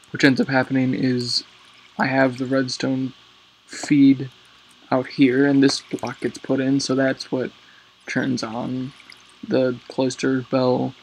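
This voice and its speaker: A man casually walking through his game build, rambling and hesitant with many pauses, his voice a little raspy because he is lying down. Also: medium pitch, little pitch movement, a little hiss or hum, low-quality recording. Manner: rambling, hesitant, lots of ums.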